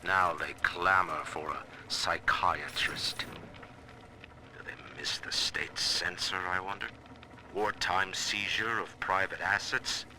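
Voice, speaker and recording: Casting: proud male city founder, low and forceful, pompous, deliberate game monologue. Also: steady tone, a little hiss or hum, heavily acted. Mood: disdainful. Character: proud, disdainful middle-aged male city founder in audio diary. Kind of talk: dramatic monologue in video game.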